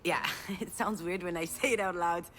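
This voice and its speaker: An actress playing a self-deprecating, conciliatory sister in earnest, conversational game dialogue. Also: female voice, fairly low pitch, steady tone, normal speed, a bit bright, a little hiss or hum, close to an everyday voice.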